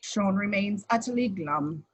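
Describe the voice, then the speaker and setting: A woman's voice: medium pitch, animated, a little slow. A woman reading out screenplay stage directions as scripted narration in a table read. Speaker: action description reader.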